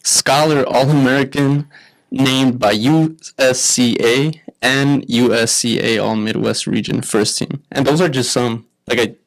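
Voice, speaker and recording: A man co-hosting a podcast at a middling pitch. He talks loosely, with many fillers, as he introduces his guest. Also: steady tone, measured pace, rough recording. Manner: casual, filler-heavy, reading out a list. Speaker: podcast co-host. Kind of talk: casual podcast chat.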